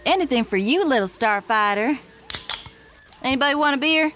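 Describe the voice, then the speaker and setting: A woman's voice: sits high, expressive, normal speed, fairly bright tone, soft background music. A woman playing a brash, playful space adventurer in an audio drama, with a teasing, breezy manner.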